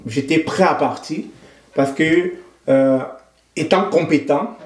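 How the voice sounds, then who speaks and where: A Cameroonian man, a guest in an interview, talking in a measured, thoughtful way and often pausing to find his words. His voice sits a little high. The room gives his voice a noticeable echo. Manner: thoughtful, measured, with frequent hesitations. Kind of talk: conversational interview.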